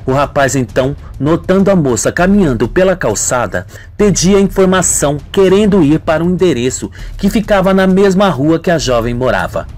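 A man's voice: on the high side, lively intonation, normal speed, few pauses, a little mellow. A man reading scripted radio soap narration in a melodramatic manner.

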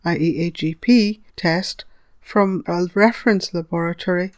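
A woman reading a scripted educational narration as a veterinary lecturer, measured and didactic, explaining step by step. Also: lowish voice, no echo, studio quality.

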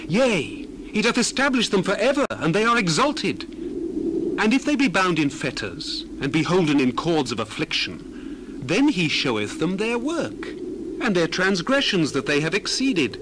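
A man's voice: fairly high pitch, noisy background. A man playing an earnest biblical orator in a dramatized scripture reading, speaking in a stately, emphatic, declamatory way. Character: earnest biblical orator proclaiming God's greatness.

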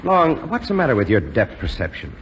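An actor playing a stern, unyielding lieutenant flight instructor, clipped and authoritative, in a 1940s radio drama. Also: male voice, medium pitch, huge pitch swings, brisk, fairly bright tone. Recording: dull 1940s radio recording, faint noise.

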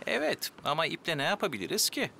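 A man's voice: a little high, sing-song, creaky, bright, forceful tone, a little hiss or hum, exaggerated voice. A man voicing a hesitant, good-natured outdoorsy adventurer in a children's cartoon, drawing out his words. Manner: hesitant, drawn-out. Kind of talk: animated children's cartoon dub. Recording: music behind the voice.

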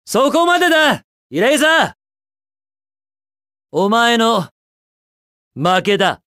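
A cocky young hedgehog hero for a video game. The delivery is upbeat, brash and casually slangy, moving freely up and down in pitch. The sound is dry and studio-clean, with no room around it. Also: male voice, big pitch swings, takes it slowly, sharp tone, smooth, no echo, heavily acted. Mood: taunting, confident. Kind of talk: Japanese video game voice clips.

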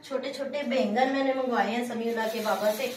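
A woman's voice: on the high side, steady tone, fairly quick. A woman from Pakistan with a Pakistani Urdu accent, chatting casually as she explains her home cooking step by step. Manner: homely step-by-step talk, often saying "jo hai na". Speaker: village vlogger. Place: small room at home, sitting on a floor mat. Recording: echoey room with clear background noise.

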